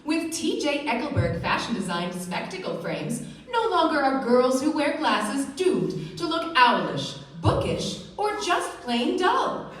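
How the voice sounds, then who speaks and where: A woman's voice: high-pitched, a bit bright, heavily acted. A woman acting a glamorous, gushing saleswoman in a vintage radio commercial, with theatrical, emphatic delivery on a stage. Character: perky glamorous 1940s radio advert saleswoman, reassuring, gushing. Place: theatre stage before an audience. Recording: distant, echoey live theatre stage.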